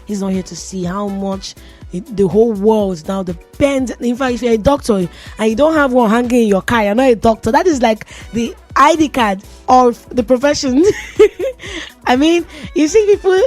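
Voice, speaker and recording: A woman's voice: a little high, lively intonation, normal speed, darkish tone, soft background music. Chatty Nigerian woman radio host. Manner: chatty and playful, laughs often. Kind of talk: casual radio tech talk. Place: radio studio.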